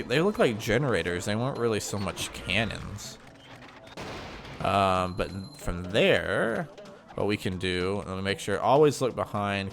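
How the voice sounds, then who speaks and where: A man with a slightly nasal, congested voice giving a chatty, rambling walkthrough of a video game. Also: lowish voice. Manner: chatty, rambling, with frequent ums and self-corrections. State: mild sinus congestion. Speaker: gaming channel host. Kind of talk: casual gameplay commentary.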